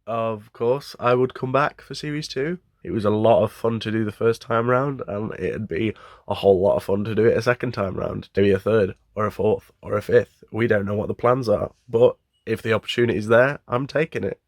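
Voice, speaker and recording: A man's voice: on the low side, moderate pace, no echo, clean studio sound. A man who acts as an amateur, giving casual interview answers. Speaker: amateur actor, writer.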